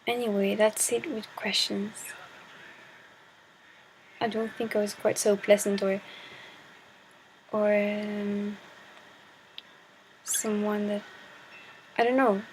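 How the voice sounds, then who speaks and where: A woman's voice: mid-range voice, little pitch movement, slow pace, long pauses, slight background noise, poor audio. A shy teenage girl from Chile, a native Spanish speaker, talking to her camera in a hesitant way and searching for words. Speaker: vlogger. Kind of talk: casual talk to camera.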